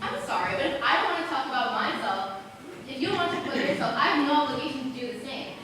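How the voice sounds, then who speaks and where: Very echoey and noisy, this is a young woman playing a curious, wry forest wanderer on stage. Her high voice reaches the mic from a distance, with the manner of a school drama. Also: animated, quick pace. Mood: wry, piqued. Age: teens or young adult. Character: curious, wry, searching girl who comforts forest visitors. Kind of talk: school stage play acting.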